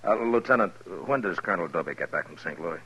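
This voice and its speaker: An American man playing a steady, sardonic Old West marshal in a dry, laconic 1950s radio acting style. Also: deep voice, quick pace, slightly rough, exaggerated voice. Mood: measured, courteous. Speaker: lead actor and narrator. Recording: muffled 1950s radio recording, music behind.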